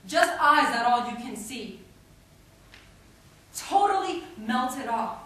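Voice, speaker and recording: A young woman reciting a dramatic stage monologue in an intense, theatrical manner with deliberate pauses. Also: sits high, lively intonation, bright, forceful tone. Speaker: stage performer. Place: indoor stage performance in a theatre or hall. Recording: echoey hall, distant mic, faint noise.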